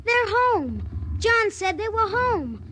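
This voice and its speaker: Very high-voiced, plaintive young boy. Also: sing-song, takes it slowly, bright, forceful tone, full character voice. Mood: defiant, pleading. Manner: plaintive, pleading. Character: earnest, defiant young boy on Mars. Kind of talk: 1950s radio drama acting. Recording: noisy vintage radio recording.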